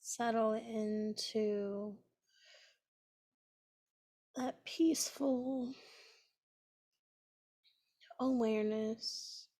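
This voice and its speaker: A woman leading a guided meditation in a gentle, soothing manner, pausing often between phrases. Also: a little high, flat delivery. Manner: gentle, unhurried, with long pauses between phrases.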